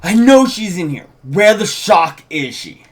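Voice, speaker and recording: An angry, desperate young-sounding intruder with a fairly high voice , read by a man at a table read. He is demanding and confrontational, facing down a gang. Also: sing-song, a little slow, heavily acted. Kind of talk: informal script table read.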